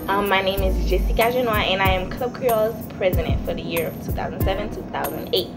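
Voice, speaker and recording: A woman's voice: medium pitch, quick pace. A young woman, a student club president, speaking candidly and earnestly in an interview. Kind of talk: interview answers. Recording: music behind.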